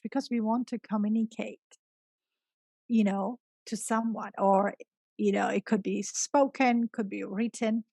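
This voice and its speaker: A middle-aged woman speaks as a guest teacher in a conversational interview. Her medium-pitched voice has a gravelly creak, and she stresses and repeats her key points. The sound is dry and close. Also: a little mellow, dry recording. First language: Georgian. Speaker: guest, experienced English teacher.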